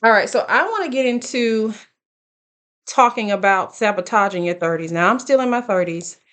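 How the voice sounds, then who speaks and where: A woman in her late thirties giving casual, earnest life advice straight to her viewers, speaking deliberately and checking in with them. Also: medium pitch, expressive, fairly bright tone, smooth. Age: late 30s. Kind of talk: casual direct-to-camera advice talk.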